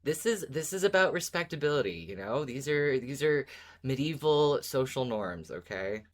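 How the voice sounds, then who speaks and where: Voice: mid-range voice, animated, normal speed. A podcast co-host in casual discussion, arguing a case conversationally and at times reading out comments.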